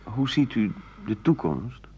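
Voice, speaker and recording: A man's voice: lowish voice, very animated, slow pace, a little mellow. A man playing an interviewer in a radio play, putting short, polite, direct questions. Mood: neutral, probing. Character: plain-spoken male interviewer questioning an old writer. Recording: dull, hissy 1980 radio recording.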